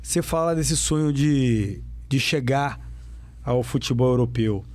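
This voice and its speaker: A man's voice: medium pitch, a little slow, darkish tone, no room sound. A man interviewing a young footballer, asking emphatic questions and repeating them for effect. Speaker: sports radio interviewer.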